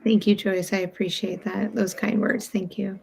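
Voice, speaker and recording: A woman's voice: mid-range voice, level tone, moderate pace, creaky, darkish tone, slightly rough. A woman chatting casually on a livestream, talking with companions and viewers.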